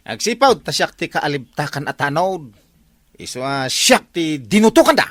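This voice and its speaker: A man's voice: on the high side, sing-song, moderate pace, a bit bright, no echo. A man playing a boastful, teasing younger village watchman in an Ilocano radio comedy drama. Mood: smug.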